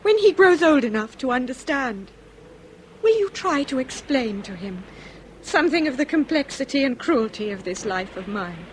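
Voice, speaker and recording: A woman's voice: high voice, big pitch swings, normal speed, a little hiss or hum, poor audio. An actress playing an anguished, dignified aristocratic lady in a radio drama, with an English upper-class accent and formal, eloquent, theatrical delivery. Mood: resigned, grave.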